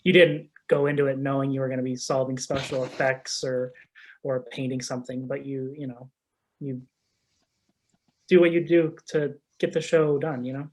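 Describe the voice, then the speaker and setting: A man's voice: mid-range voice, fairly even intonation, normal speed, darkish tone. A man talking casually in a group conversation, easygoing and saying "you know" often. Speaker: guest.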